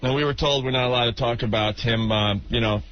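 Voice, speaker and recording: Male talk radio host, aggrieved banter, medium pitch, faint tape noise. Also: fairly even intonation, quick pace. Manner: mocking, aggrieved. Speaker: radio show host taking calls. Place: radio studio. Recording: dull, noisy old radio tape.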